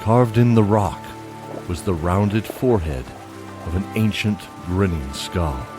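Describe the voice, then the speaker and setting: Brief: male narrator for a fantasy audio drama, low and measured, soft music under. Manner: measured, descriptive storytelling. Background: faint music.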